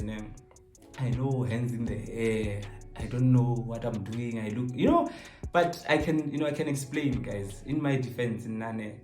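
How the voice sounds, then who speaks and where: A young man with a South African accent talks in a fairly low voice, joking his way through viewer questions. He slips between languages as he chats. The room echoes and music plays faintly behind him. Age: 20s. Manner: animated, joking, code-switches between languages. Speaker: YouTuber answering viewer questions. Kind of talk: casual chat to camera.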